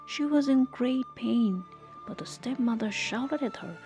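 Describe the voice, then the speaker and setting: A woman storyteller reading a scripted folktale in a soft, measured, expressive read-aloud way. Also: a little high, soft background music.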